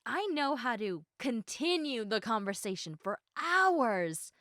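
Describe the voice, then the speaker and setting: A woman's voice: on the high side, no echo, clean studio sound. A woman playing a bubbly, talkative, eager young woman in a one-sided roleplay, chatting emphatically and playfully straight to the listener. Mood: awkward, curious. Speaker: audio roleplay performer.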